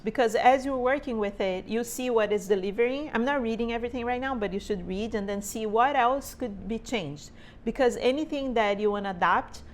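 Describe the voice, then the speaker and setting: A woman in her forties from Brazil with a Brazilian Portuguese accent, speaking English as a second language, chatting informally to camera from her sewing studio and often checking in with "right?". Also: a little high. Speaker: sewing teacher and small business owner. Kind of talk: casual tutorial talk to camera. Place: home sewing studio, indoors.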